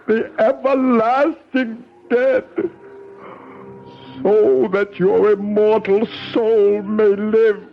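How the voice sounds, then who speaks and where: An actress playing a stern, vengeful older sorceress in a radio drama, with a solemn, incantatory, theatrical delivery. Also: female voice, mid-range voice. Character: stern, vengeful older woman sorceress, a countess and devoted aunt. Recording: dull lo-fi old radio broadcast.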